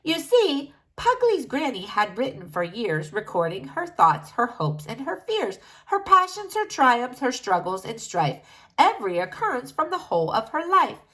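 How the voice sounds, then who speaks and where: A woman narrates a rhyming children's storybook aloud. Her voice is fairly high and extremely animated, rising and falling expressively through the verse. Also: normal speed, poor audio. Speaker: storybook reader.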